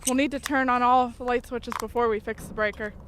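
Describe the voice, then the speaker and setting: A woman's voice: a little high, fairly even intonation, a little fast, fairly bright tone, slight background noise, close to an everyday voice. A woman playing an unfazed, bossy young ghost-fighting companion in an audio horror drama, speaking in a cool, matter-of-fact, commanding way. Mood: cool, wry.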